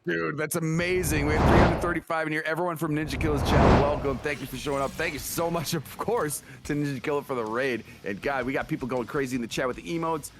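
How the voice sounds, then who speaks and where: A man's voice: fairly high pitch, steady tone, fast, few pauses, background noise. A man co-commentating a fighting game tournament with upbeat, playful play-by-play.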